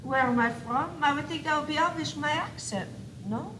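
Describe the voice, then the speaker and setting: A woman's voice: high-pitched, fairly quick, lightly acted. A female student actor playing a haughty four-month-old baby boy doll with a put-on French accent in a stage comedy. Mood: smug, storytelling. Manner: pompous, theatrical. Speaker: student actor in a school play. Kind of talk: stage one-act comedy acting. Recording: echoey, noisy 1985 school video tape.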